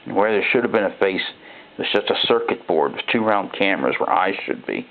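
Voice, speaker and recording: Guy playing a scared regular dude telling his creepy robot horror story, gravelly n halting, sounds like its thru a phone. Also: deep voice, lively intonation, pitched below the performer's own voice. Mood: shaken, hushed. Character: frightened ordinary man recounting robot replacements. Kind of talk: first-person dramatic horror narration. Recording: thin, phone-like narrow-band sound.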